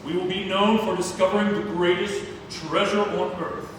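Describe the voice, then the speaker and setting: A man's voice: high-pitched, fairly even intonation, moderate pace, close to an everyday voice. A man at a play read-through acting a greedy, haughty rival explorer who hunts for treasure. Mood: smug. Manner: haughty, theatrical. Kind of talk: stage play table read. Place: theatre room, recorded from far off. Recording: distant echoey hall with faint noise.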